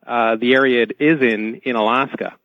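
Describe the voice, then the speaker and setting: A man as a radio interview guest, explaining technical points informally and haltingly, often repeating words, on dull band-limited audio. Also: mid-range voice, steady tone, no echo.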